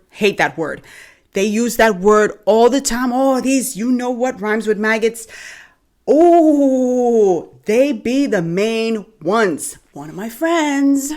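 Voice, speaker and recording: A Bahamian woman hosting a podcast, reacting to a clip with animated, drawn-out emphasis. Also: on the high side. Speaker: podcast host.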